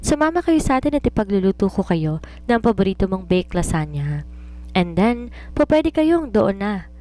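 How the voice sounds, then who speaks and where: A woman's voice: mid-range voice, sing-song, normal speed, dark tone, close to an everyday voice. A woman voicing a doting, nagging widowed mother and businesswoman, mixing Tagalog and English in an affectionate scolding way. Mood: fond, fussing. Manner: Taglish, scolding yet affectionate.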